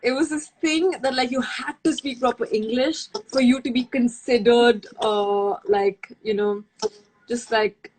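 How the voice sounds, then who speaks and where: A woman's voice: a little high, fairly even intonation, unhurried, a little mellow, low-quality recording. A young woman from India, a native Hindi speaker, chatting casually as a livestream guest and rambling with many fillers and restarts. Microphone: phone or video call.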